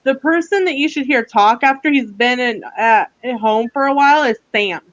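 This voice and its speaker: A woman's voice: high-pitched, normal speed, no room sound. A woman with a Southern US accent chatting casually and teasingly as co-host of a livestream, in a folksy way. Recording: dull, lo-fi livestream audio.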